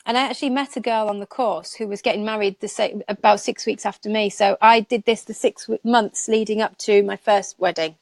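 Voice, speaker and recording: A woman's voice: mid-range voice, steady tone, a little fast, creaky, few pauses, no room sound. A woman in her thirties, a nail technician chatting casually as a guest and rambling with laughs. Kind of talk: casual live chat.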